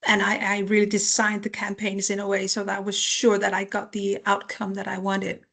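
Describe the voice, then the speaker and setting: Gravelly, practical middle-aged woman, ex-CEO guest answering webinar questions. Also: mid-range voice, monotone, fairly quick, a bit bright. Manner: practical, advice-giving explanations. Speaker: former company CEO, guest speaker.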